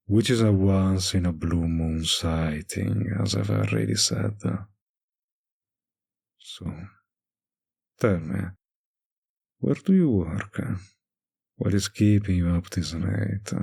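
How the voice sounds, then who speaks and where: A suave, world-weary vampire bartender, acted out by a man, speaks intimately to the listener in an audio roleplay. His low voice crackles with heavy fry. He takes his time, slow and languid, teasing between hesitant pauses. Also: a little mellow, exaggerated voice. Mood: curious, teasing.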